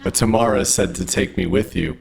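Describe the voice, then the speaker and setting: A man's voice: deep voice, steady tone, fairly quick, creaky, breathy edge, exaggerated voice, rough recording. A man playing an overly friendly, literal-minded robot in an audio drama, with formal, stilted speech. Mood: earnest, friendly.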